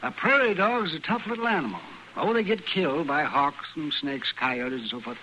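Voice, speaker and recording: A fussy old frontier doc with a fairly high voice that swoops up and down. He mutters and hems in folksy fashion, in an old radio western with clear hiss over it. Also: lively intonation, moderate pace, pushed and bright. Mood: enthusiastic. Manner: muttering, hemming, folksy exclamations. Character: crotchety, absorbed older frontier doctor. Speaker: actor in a western radio drama. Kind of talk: old-time radio acting. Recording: noisy old radio recording.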